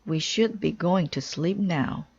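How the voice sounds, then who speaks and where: Woman voicing a kindly, slow-spoken storybook frog. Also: lowish voice, creaky, darkish tone, breathy edge, dull audio, exaggerated voice. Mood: gentle. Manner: encouraging, polite. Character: kind, helpful, agreeable male frog friend. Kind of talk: children's storybook character voice.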